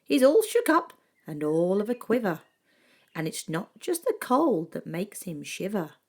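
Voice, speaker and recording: Woman doing a kids story readaloud, slow pace, super expressive up and down voice, dramatic pauses. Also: medium pitch. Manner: animated, with dramatic pauses and emphasis. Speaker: storybook reader. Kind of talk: expressive children's picture book read-aloud.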